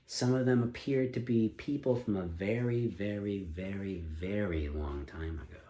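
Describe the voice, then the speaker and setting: A man improvising a story as a storyteller, speaking in a deliberate, inquisitive and encouraging manner. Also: on the low side, mellow, highs cut off. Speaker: storyteller and co-host with a child. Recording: echoey room, faint background noise.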